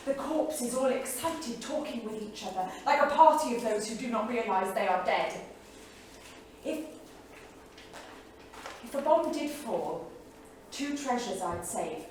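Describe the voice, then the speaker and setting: Flamboyant frank 1930s arty woman, stagey monologue, big echo, slight noise. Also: on the high side. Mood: shaken, intense, then anxious, wistful. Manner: theatrical, vivid, candid. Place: theatre studio stage, far microphone. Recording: distant echoey theatre studio, faint noise.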